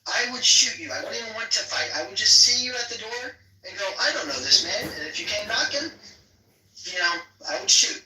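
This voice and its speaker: A man with a nasal, squeaky voice in a live audio chat room, talking haltingly with many fillers and repeats as he mocks another speaker over a phone mic. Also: lively intonation, sharp tone, a little hiss or hum. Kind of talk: mocking argument. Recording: echoey, distant room sound.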